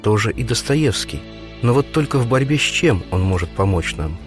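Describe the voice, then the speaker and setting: A man hosting a radio book segment, reading his scripted commentary in a measured, reflective way. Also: fairly low pitch, expressive. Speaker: radio host. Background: music.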